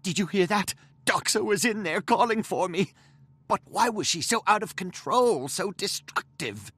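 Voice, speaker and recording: A man's voice: high-pitched, animated, moderate pace, fairly bright tone, husky, no echo, exaggerated voice. A man voicing an anxious, earnest young gnomish lab assistant in a video game, pleading and deferential. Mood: distressed. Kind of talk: video game cutscene voice acting.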